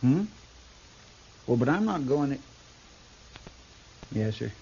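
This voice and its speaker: An actor playing a deferential, slightly fretful marshal's assistant with a folksy manner in a 1950s radio western. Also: male voice, medium pitch, big pitch swings, slow, gravelly, dark tone, full character voice. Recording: dull, noisy vintage radio recording.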